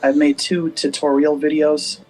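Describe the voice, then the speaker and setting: A man with a soft, gentle voice, chatting casually over voice chat with a streamer. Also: on the high side, level tone, a little slow. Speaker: guest gamer.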